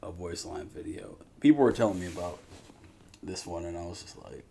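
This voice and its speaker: A man's voice: low voice, fairly quick, dark tone. A man hosting a reaction video, chatting in a slangy, rambling, joking way. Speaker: reaction show host. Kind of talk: casual reaction chat. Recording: clear background noise.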